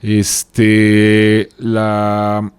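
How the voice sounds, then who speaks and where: A man's voice: fairly low pitch, monotone, drawn-out words, smooth, dry recording. A man co-presenting a podcast, explaining things in a casual, joking, informal way.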